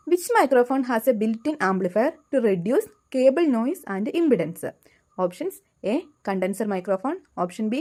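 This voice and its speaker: Malayali lady exam tutor reading out quiz stuff, really slow n deliberate, mellow voice. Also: a little high. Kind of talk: scripted quiz lesson, reading questions and options.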